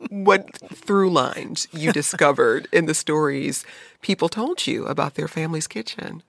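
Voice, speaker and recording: A woman's voice: low voice, unhurried, vocal fry, dry recording. A woman radio host interviewing a guest in a friendly, engaged way.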